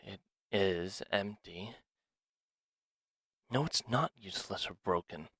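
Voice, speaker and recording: Male actor as a sly scheming dragon, low-pitched, very unhurried, hushed and confiding, ASMR roleplay. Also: breathy edge, no echo, clean studio sound, exaggerated voice. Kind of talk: ASMR roleplay audio drama, one side of a dialogue.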